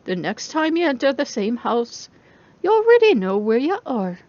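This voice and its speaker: Woman reading a novel as an intense lady professor, teachy and emphatic, highish voice, very lively, slightly muffled. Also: normal speed, dry recording. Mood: explaining. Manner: didactic, emphatic lecturing. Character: female robotics professor lecturing her class, intense, brisk.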